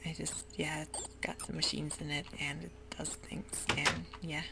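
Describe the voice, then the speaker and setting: A woman whispering ASMR gameplay commentary in a soft, rambling way with many ums. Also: low voice, level tone, a little slow, vocal fry, very noisy. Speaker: gaming ASMR host.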